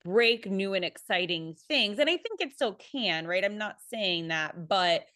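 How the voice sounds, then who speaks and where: A woman with a medium-pitched voice chats on a panel in an informal, halting way. She is self-deprecating as she works through her thoughts, and her sound is dry, with little room to it. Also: expressive, pushed and bright, no echo. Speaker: theater panelist. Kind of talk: casual panel discussion.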